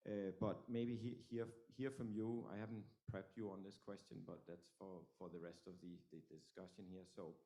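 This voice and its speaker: Man, very deep voice, gravelly, mellow tone, moderator, halting. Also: steady tone. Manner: halting, many restarts. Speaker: panel moderator. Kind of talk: panel discussion.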